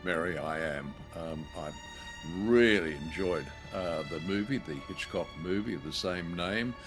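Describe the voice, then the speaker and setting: Very deep-voiced man, podcast co-host. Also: a little slow, over music. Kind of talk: casual introduction chat.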